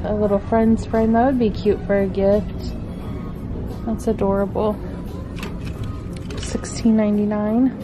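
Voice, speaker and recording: A woman's voice: mid-range voice, rough recording. A middle-aged woman filming a shop-with-me vlog in a home decor store, chatting about prices and cute items on the shelves while she holds the camera. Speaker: vlogger. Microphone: handheld camera. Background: background music.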